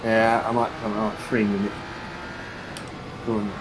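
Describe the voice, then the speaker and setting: A man's voice: on the low side, little pitch movement, unhurried. A man chatting casually as a fellow racer on noisy online voice chat.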